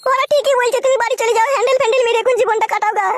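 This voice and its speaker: A woman's voice: extremely high pitch, fairly even intonation, very fast, dry recording. A woman voicing a plaintive, coaxing widowed village woman in a comic cartoon. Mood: pleading. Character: pleading widowed village woman, apologetic but unyielding lover.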